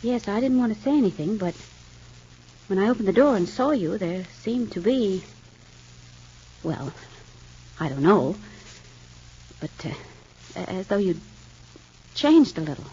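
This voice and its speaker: Soothing friend, breathy, muffled old recording. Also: female voice, medium pitch, expressive, measured pace, long pauses, mellow. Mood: hesitant concern. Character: concerned, gently reassuring woman friend. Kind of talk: 1950s radio soap opera acting. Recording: dull vintage radio drama recording.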